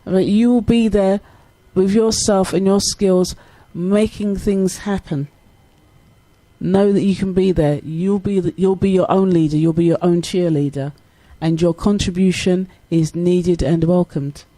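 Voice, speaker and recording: A woman's voice: lowish voice, fairly even intonation, measured pace, mellow, no echo, rough recording. A woman hosting a conversational radio interview, speaking in an encouraging, affirming manner. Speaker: radio show host. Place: radio studio.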